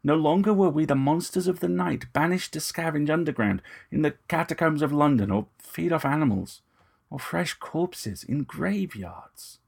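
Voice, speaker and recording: A man's voice: a little high, normal speed, vocal fry, a little mellow, slightly rough, pitched above the performer's own voice. A man voicing a corpulent, genteel vampire in an audio story, unctuous, formal and menacing. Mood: grandiose, menacing. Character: corpulent, genteel, predatory male vampire with ragged breath.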